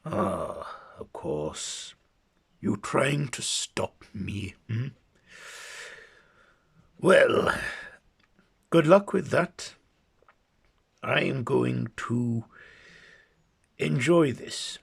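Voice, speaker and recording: A man's voice: medium pitch, lively intonation, extremely slow, gravelly, pauses often, husky, voice pushed up, exaggerated voice. A man voicing a scheming, smug male villain who taunts his foe. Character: scheming male villain seeking hell on earth.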